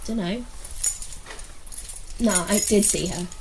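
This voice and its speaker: A woman's voice: mid-range voice, takes it slowly, noisy background. Woman as an evasive youngster, echoey and distant. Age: young adult. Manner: laconic, evasive, offhand. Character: evasive, droll youngster with offhand answers. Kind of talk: radio play dialogue. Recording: echoey, slightly distant from mic.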